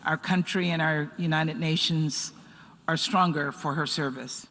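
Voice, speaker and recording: A man's voice: on the high side, steady tone, unhurried, sharp tone. Man's formal speech in a reverberant hall.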